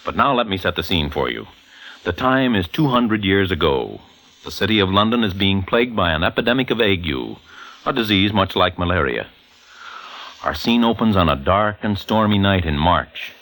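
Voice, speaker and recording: A man's voice: sits low, very animated, moderate pace, fairly bright tone. An American man hosting in an emphatic, polished 1940s newsreel style on vintage radio. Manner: dramatic, emphatic, polished announcer delivery. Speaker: radio host and commentator.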